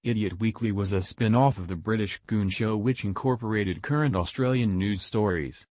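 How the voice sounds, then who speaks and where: A man giving measured, informative scripted narration. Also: fairly low pitch, a little mellow, no echo. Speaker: documentary narrator.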